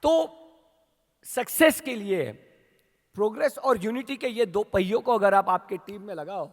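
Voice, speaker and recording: Rousing Indian man with very high pitch. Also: unhurried. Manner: rousing, asks the crowd questions, builds to shouts. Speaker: company leader addressing a sales team. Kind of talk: motivational speech. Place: large hall at a training event. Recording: long hall reverb.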